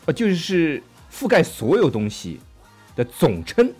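A man's voice: a little high, huge pitch swings, measured pace, a little mellow, husky, soft background music. A man co-hosting a language podcast in casual talk, explaining words, spelling them out letter by letter and checking in with his partner. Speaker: podcast co-host teaching English. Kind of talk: casual bilingual chat, explaining English words. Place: studio in Sydney.

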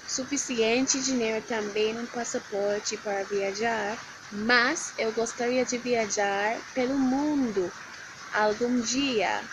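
Young Jamaican woman, slightly high, noisy recording. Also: highs cut off. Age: 20s. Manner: careful self-taught learner. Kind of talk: recorded viewer message. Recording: noisy background.

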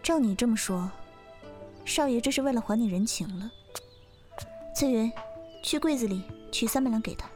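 Casting: female actor for stern, jealous young wife in period audio drama, haughty and accusing, high voice, music bed. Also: lively intonation, normal speed, pauses often, darkish tone, close to an everyday voice. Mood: cold, suspicious. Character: stern, jealous young wife, mistress of an old Chinese household.

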